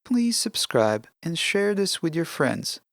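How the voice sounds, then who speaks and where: Gravelly, dry-sounding podcast host. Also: medium pitch, big pitch swings, slow pace, dry recording. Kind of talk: scripted self-help podcast narration.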